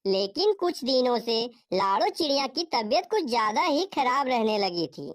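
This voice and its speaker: A woman's voice: a little high, sing-song, normal speed. A woman narrating a scripted cartoon story as its storyteller.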